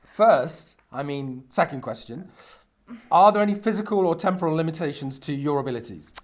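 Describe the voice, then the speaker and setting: A fairly high, very expressive man's voice for a brainy mortal caught in a bad bargain , acted in a script reading. He protests with indignation and hesitates as he puzzles things out, incredulous throughout the drama. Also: normal speed, lightly acted. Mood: hesitant, puzzled. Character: flustered, indignant, brainy mortal man bargaining for his soul.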